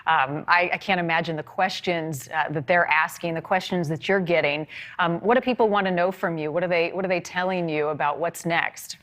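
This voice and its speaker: American anchorwoman, slightly low voice, measured broadcast delivery. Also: a bit bright. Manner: polished, measured newsreader delivery. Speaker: news anchor. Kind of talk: broadcast news presenting and interviewing.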